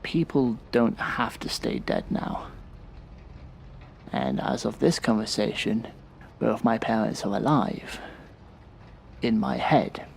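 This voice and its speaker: An actor playing a weary, resentful man of about thirty in an audio drama, speaking in a bitter, sardonic and deliberate way. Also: fairly low pitch, gravelly, a little hiss or hum, exaggerated voice. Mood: wry, reflective. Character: weary, resentful thirty-year-old man confiding in a therapist. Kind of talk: audio drama acting, monologue.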